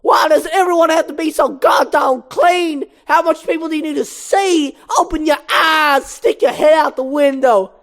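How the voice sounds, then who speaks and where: A woman voicing a frantic, streetwise male cartoon mosquito, yelling like a brash comedian. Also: sits high, lively intonation, slow, pitched above the performer's own voice, heavily acted. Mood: panicked yelling. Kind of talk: animated film script read-through.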